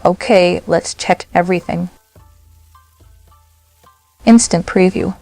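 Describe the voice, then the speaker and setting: A woman narrating a software tutorial step by step, in short, simple instructional phrases. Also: lowish voice, slow pace, vocal fry, no echo, faint music behind.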